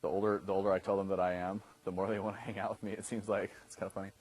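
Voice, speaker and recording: A man in his thirties leads a class, his voice a touch low and slightly husky. He talks casually and keeps pausing to check that his listeners are following. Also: flat delivery, darkish tone, low-quality recording. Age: 30s. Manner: conversational, often checks in with "okay?". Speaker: dating coach instructor. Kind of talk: instructional talk to a class.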